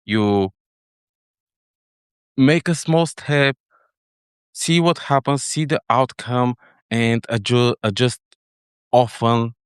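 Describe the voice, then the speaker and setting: Man, middle-aged, Bulgarian accent, lowish voice, halting conversational explanations, dry close sound. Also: clean tone, no room sound. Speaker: interview guest, head of data engineering. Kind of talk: casual explanatory chat.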